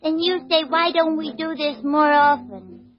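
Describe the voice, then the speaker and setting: Pouty little girl, scolding her boyfriend in song, slow delivery, muffled old radio sound with music. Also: sits high. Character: very young girl griping about her boyfriend. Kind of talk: 1940s radio comedy song. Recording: muffled vintage radio recording, music behind. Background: musical accompaniment.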